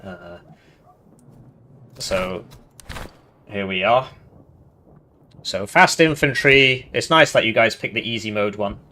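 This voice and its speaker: Nasal low-voiced man streaming. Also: takes it slowly, long pauses, bright, forceful tone, a little hiss or hum. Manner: reads game text aloud with casual asides. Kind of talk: live gameplay commentary.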